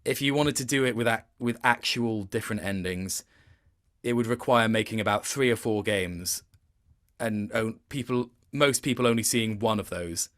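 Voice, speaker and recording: A man's voice: on the low side, normal speed, fairly bright tone. A man giving a casual, reflective interview as a guest, speaking thoughtfully and often rephrasing as he searches for words.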